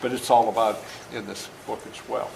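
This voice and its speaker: An older man reads his own story aloud to children in an animated, folksy way, stopping for asides to explain things. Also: on the low side, normal speed, creaky. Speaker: author reading his own book to schoolchildren. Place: indoor room at a school. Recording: echoey room with faint background noise.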